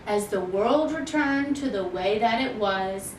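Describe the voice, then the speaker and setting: A woman reads a children's story in verse at an unhurried pace, with expressive, deliberate phrasing. Her medium-pitched voice reaches a distant microphone in an echoey room. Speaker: children's library storytime reader. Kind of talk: expressive picture-book read-aloud in rhyme. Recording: roomy, distant mic, faint noise and rumble.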